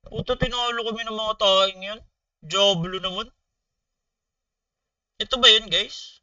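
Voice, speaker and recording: A Filipino man chatting casually on a livestream, telling stories in animated, slangy, swear-laden talk to his viewers. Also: high-pitched, measured pace, no room sound, distant mic, highs cut off. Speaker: gaming streamer. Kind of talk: casual storytelling livestream chat.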